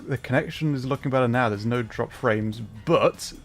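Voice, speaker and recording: A man's voice: mid-range voice, moderate pace, faint music behind. A man chatting casually to viewers on a livestream, talking in a hesitant, flustered way and often interrupting himself. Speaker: livestreamer, VTuber.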